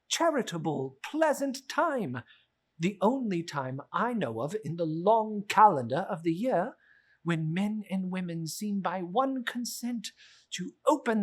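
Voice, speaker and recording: A performer plays a good-natured young nephew from a classic storybook. The voice is husky and very animated, earnest and theatrical, rising into exclamations. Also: mid-range voice. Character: cheerful, earnest young nephew, warm and pleading. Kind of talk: dramatic reading of a classic story.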